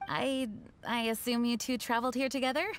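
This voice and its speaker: A woman's voice: fairly high pitch, flat delivery, a little fast, slight background noise, close to an everyday voice. A woman playing a composed, modest young knight commander in an anime video game, speaking formally and courteously. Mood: concerned. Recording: music playing behind the voice.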